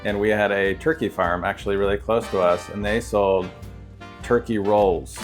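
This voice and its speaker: Low-voiced American man chatting casually. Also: fairly even intonation, moderate pace, soft background music. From Ohio, United States. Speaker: co-host of a cooking show. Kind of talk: casual chat, storytelling.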